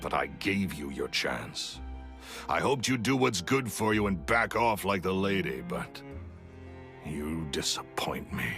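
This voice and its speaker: A menacing video game villain, low and sharp-edged. He sneers theatrically with bitter resentment, his pitch swinging as he threatens, over faint music. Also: very animated, a little slow, heavily acted. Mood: cold menace. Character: bitter, menacing male villain, resentful magician turned murderer. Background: background music.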